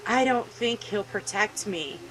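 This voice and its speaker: A woman voicing a wounded, ashamed young girl in a visual novel, halting, subdued and apologetic. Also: mid-range voice, lively intonation, a bit bright, slight background noise, low-quality recording.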